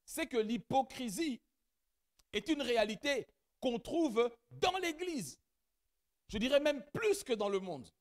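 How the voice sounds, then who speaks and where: Animated high-pitched preaching pastor. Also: male voice. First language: not French; has another mother tongue. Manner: animated preaching, rising to loud emphatic peaks, addresses the congregation directly. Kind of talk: sermon. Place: church.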